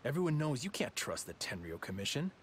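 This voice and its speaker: A man's voice: medium pitch, big pitch swings, fairly quick, a little hiss or hum, natural voice. A man voicing a suspicious, secretive soldier in a video game, with a firm, conspiratorial delivery. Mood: certain, suspicious. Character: suspicious, secretive male soldier, sure of himself.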